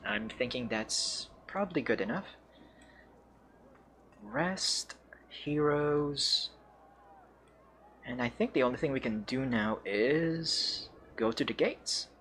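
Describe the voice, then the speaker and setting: A man's voice: medium pitch, expressive, slow pace, pauses often, fairly bright tone, a little hiss or hum. A man with a Filipino accent giving casual game commentary as a streamer, hesitant and searching for words. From Philippines. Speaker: streamer and English teacher.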